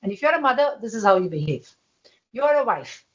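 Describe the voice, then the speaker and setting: A woman with a loud voice, talking as a podcast guest in an emphatic way and laughing often. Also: on the high side, sing-song, brisk, muffled recording. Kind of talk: casual conversation.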